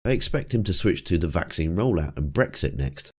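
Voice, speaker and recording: A man with a croaky, cold-roughened voice, giving casual commentary with dry, wry asides. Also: low voice, big pitch swings, a little fast, darkish tone. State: hoarse from a cold. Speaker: political commentator. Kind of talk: casual commentary over clips.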